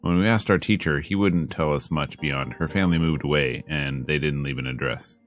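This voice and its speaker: A man's voice: extremely low pitch, moderate pace. A man reading a visual novel aloud as a streamer, dramatic in delivery with wry, joking asides, over game music. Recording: music behind the voice.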